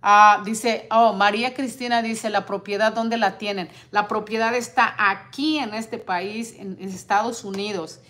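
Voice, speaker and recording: A woman in her forties, a vlogger talking on a home livestream and reading out viewer comments in an earnest, indignant but measured way. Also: mid-range voice, steady tone, fairly bright tone. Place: living room at home.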